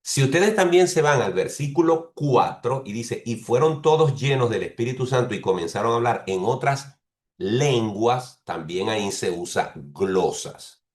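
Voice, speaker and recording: A man preaching a teaching sermon who explains slowly and restates each point several ways. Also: mid-range voice, animated, darkish tone, echoey room. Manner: explains patiently, repeats and rephrases points; speaks bilingually of Spanish and English.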